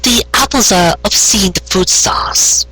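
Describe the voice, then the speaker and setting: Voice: medium pitch, unhurried, pushed and bright, pitched above the performer's own voice, poor audio. A storyteller voicing a polite, eager young female pony farmer who speaks hesitantly and deferentially. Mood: confused, apologetic.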